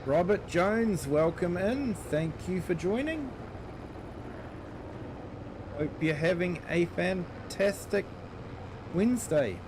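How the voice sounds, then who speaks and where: A man's voice: sits high, animated, slow pace, long pauses, noisy background. A middle-aged man with a New Zealand accent, chatting casually with viewers while streaming a farming game and greeting them by name. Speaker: gaming streamer. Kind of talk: casual live-stream chat while playing.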